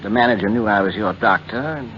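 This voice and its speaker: A sober family physician, played by a man in an old radio drama. His low voice is measured, firm and grave. The recording is muffled, with some hum. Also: lightly acted. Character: grave, steady male family doctor, firm. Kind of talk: 1940s radio drama acting.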